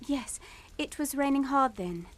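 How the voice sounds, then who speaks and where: An actress playing a distraught, timid young English daughter in a radio suspense drama, speaking in a halting, tremulous way. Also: female voice, high voice, lively intonation, no echo. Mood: nervous, hesitant.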